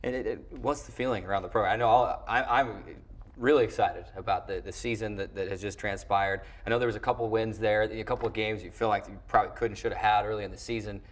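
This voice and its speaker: A man with a lowish voice hosts a sports program from a studio. He speaks in a conversational broadcast style, with long, wordy phrasing. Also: fairly even intonation, fast. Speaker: sports show host.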